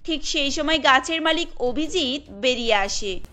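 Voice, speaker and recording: A woman's voice: high voice, animated, normal speed, sharp tone. A woman narrating a scripted cartoon story as its storyteller. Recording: music bed under the voice.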